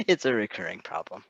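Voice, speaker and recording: A man chatting casually in a halting, self-deprecating, giggly way. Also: fairly low pitch, creaky, a bit bright, breathy edge, dry recording, muffled recording. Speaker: co-host, fan fiction proofreader. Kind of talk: casual rambling chat.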